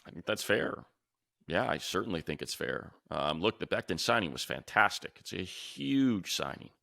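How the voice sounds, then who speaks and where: A man's voice: low voice, very animated, normal speed, gravelly, bright, forceful tone, dry recording, clean studio sound. A man hosting a sports talk show, casually analysing in an emphatic, conversational way and repeating points for stress.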